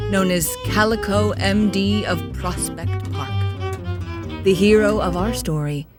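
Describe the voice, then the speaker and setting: A woman playing a grandiose, tormented storyteller, giving solemn, florid theatrical narration with dramatic pauses. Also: mid-range voice. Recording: echoey hall with faint music.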